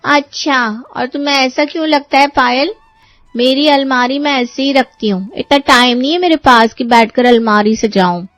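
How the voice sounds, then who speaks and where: A woman's voice: high-pitched, normal speed, fairly bright tone, no room sound, soft background music, voice pushed up. A woman voicing a vain, indignant young sister-in-law in a cartoon story, huffy and defiant.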